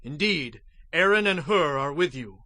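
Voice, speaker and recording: Solemn male leader of his people, bright and forceful, closely recorded and dry, declamatory biblical audio drama. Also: a little high, lively intonation, a little slow, no echo, full character voice. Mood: instructing, firm. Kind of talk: dramatized scripture acting.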